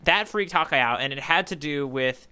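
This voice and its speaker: Bright, clear-voiced man chatting on a podcast. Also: on the high side, moderate pace. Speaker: podcast co-host. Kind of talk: casual manga readalong discussion.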